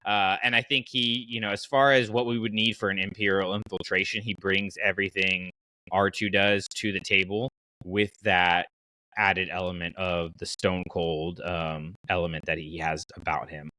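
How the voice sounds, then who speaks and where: A man hosting a casual fan podcast, talking in an animated, colloquial way and explaining his reasoning at length. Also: sits low, normal speed, no room sound, rough recording. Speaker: podcast host.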